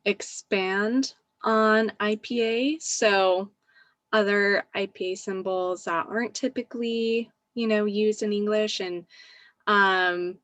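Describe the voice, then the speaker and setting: A woman speaking casually in a group discussion, thoughtful and hesitant, pausing as she forms her suggestion. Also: medium pitch, fairly even intonation, a bit bright, no echo. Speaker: meeting participant.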